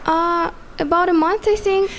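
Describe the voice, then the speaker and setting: A woman's voice: extremely high pitch, fairly even intonation, a little slow, fairly bright tone, slight background noise, exaggerated voice. A woman playing a polite, chatty small-town diner waitress in an audio drama. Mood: helpful, gossipy. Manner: polite, helpful, gossipy.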